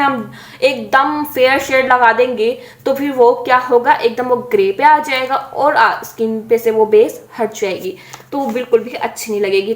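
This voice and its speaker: A young woman talks through a makeup tutorial in a high, bright voice. She is chatty and explanatory, pausing with small hesitations. The room around her is echoey. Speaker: beauty vlogger.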